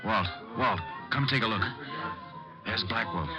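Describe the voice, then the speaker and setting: A man plays a gruff American police lieutenant giving orders in an old radio crime show. The voice is deep, and the vintage recording is muffled, with music underneath. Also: a little slow, close to an everyday voice. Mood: bossy. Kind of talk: 1940s radio acting.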